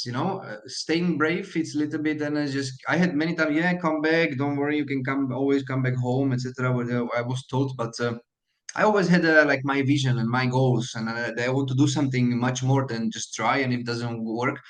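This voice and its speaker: A man with a Slovak accent answering questions in an online meeting, earnest and halting, with many fillers and restarts. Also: medium pitch, steady tone, fairly bright tone. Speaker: guest speaker, hotel manager. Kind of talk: online Q&A talk.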